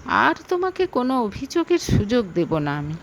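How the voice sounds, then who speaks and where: A woman voicing an earnest police officer husband in an audio story, with a measured manner. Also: fairly high pitch, sing-song, slight background noise. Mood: soft, apologetic.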